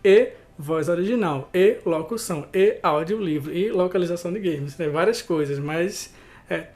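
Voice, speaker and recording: Man w a pernambuco accent, kinda high voice, chatty explaining, keeps tacking on little questions. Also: moderate pace, darkish tone. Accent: Pernambuco Brazilian Portuguese. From Recife, Brazil. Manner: conversational, frequent tag questions. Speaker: voice artist interviewee. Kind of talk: explanatory interview answers.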